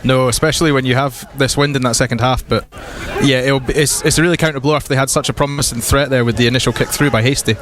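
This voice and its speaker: A man's voice: medium pitch, fairly even intonation, fast, few pauses, crowd noise. A man giving conversational, explanatory analysis as co-commentator during a live rugby match. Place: outdoors at a rugby ground.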